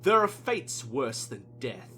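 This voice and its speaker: A stern psychologist in an audio play, voiced by a man, speaking in cutting, deliberate reproach. The voice has a bright edge and taunts coldly. There is faint noise and hum on the recording. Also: mid-range voice, very animated, exaggerated voice. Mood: cold, vindictive. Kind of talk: amateur audio play acting.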